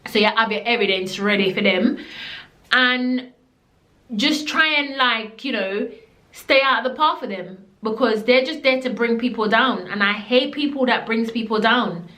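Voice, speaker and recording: A young woman with a Jamaican accent tells her story straight and emphatically, dropping into patois now and then. Her voice sits fairly high, and the room around her adds a clear echo. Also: a bit bright. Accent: Jamaican, living in the UK. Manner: blunt, emphatic, slipping into patois. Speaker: vlogger. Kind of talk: storytime vlog.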